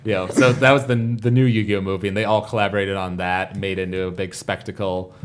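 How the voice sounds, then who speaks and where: Male podcast panelist, low register, keen and detailed, casual fan talk. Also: level tone, a little fast, heavy background noise. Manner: enthusiastic, detailed explaining. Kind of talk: casual fan chat about anime parodies.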